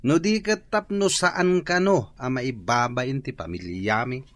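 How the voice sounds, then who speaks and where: A man's voice: mid-range voice, measured pace, fairly bright tone, no room sound, close to an everyday voice. A man acting a bitter, aggrieved young son of a wealthy family in an Ilocano radio drama. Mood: sullen bitterness.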